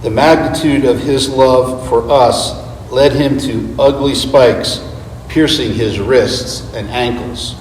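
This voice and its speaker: A man giving an earnest, deliberate dramatic reading of a script as a church readers theater performer. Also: mid-range voice, steady tone. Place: large reverberant church space. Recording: echoey hall, distant mic, background noise.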